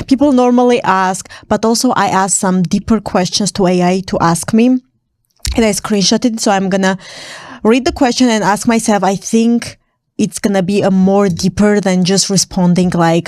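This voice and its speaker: Young woman from Armenia, native Armenian speaker, chatty vlogger, middle pitch, dead-dry close mic. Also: darkish tone, no room sound. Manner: chatty, laughs often, many fillers. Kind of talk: casual Q&A to camera.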